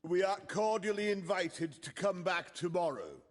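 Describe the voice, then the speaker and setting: An actor playing an officious, snooty tournament registrar in a video game, formal and pompous. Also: male voice, high voice, lively intonation, normal speed, long reverb tail. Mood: loud announcing. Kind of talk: video game dialogue acting. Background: background music.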